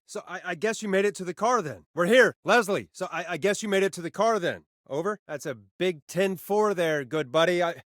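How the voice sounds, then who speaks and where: A man's voice: on the high side, no echo, studio quality. An actor playing a sardonic male late-night radio talk show host who stammers a little under pressure, in a video game. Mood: tense, worried. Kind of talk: video game voice acting, conversational radio patter.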